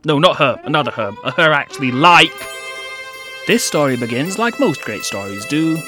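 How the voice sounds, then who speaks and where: Man playing a cheeky, animated teenage schoolboy. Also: on the high side, normal speed, faint music behind. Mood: exasperated storytelling. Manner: playful, sarcastic, exaggerated. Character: cheeky, bickering seventeen-year-old schoolboy narrator.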